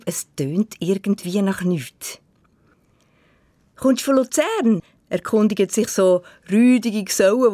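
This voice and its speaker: A woman with a mild Zug Swiss German accent reads a humorous column with a wry, ironic edge. Her pitch swings widely as she plays with the words, and the recording is close and completely dry. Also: darkish tone, no room sound. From Canton of Zug, Switzerland. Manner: wry, ironic, playfully self-mocking.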